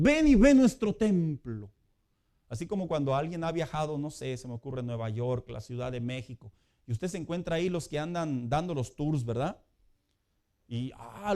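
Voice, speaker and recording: A man preaching a sermon as pastor in a conversational way, building to loud emphatic peaks. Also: medium pitch.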